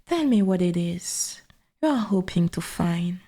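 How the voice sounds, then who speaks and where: A woman voicing an ancient, dominant forest god in an ASMR roleplay, speaking in formal, archaic wording with a breathy half-whisper and quiet menace. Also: fairly low pitch, lively intonation, unhurried. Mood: curious, looming.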